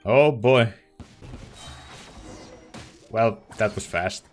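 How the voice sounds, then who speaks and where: A man's voice: lowish voice, drawn-out words, music in the background. A man giving casual let's play commentary, reacting to the game in hesitant, muttered asides.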